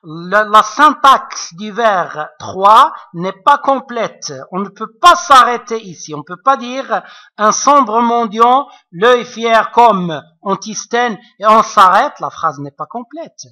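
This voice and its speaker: A man's voice: high-pitched, animated, takes it slowly, pushed and bright. A man with an Arabic accent, a native Arabic speaker, explaining French poetry to students in a patient, repetitive way. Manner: patient, repetitive, checks with frequent asides. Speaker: French literature teacher. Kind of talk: classroom explanation.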